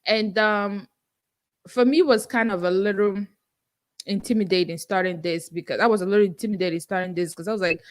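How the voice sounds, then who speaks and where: Podcast host, young woman, heavy Nigerian accent, earnest solo Q&A with lots of "um" and "you know.". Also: medium pitch. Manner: earnest, self-encouraging, frequent fillers. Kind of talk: solo livestream Q&A.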